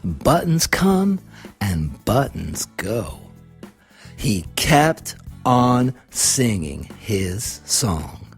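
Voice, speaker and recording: A woman reads a children's storybook aloud in an expressive, deliberate way, putting questions to young listeners. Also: extremely low pitch, faint music behind. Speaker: storybook reader. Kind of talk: children's read-aloud narration.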